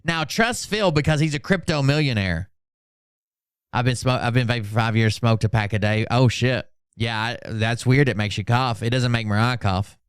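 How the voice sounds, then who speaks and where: A man's voice: medium pitch, moderate pace, smooth, no room sound, clean studio sound. A man hosting a livestream, giving mocking, sarcastic commentary on social media posts in casual, sweary chat. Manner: sarcastic, sweary, mock-dramatic when reading posts out. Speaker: livestream host.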